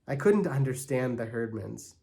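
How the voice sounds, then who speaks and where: A man reading a storybook aloud in an animated narrating style. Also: mid-range voice, moderate pace, gravelly. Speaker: church staff member reading aloud.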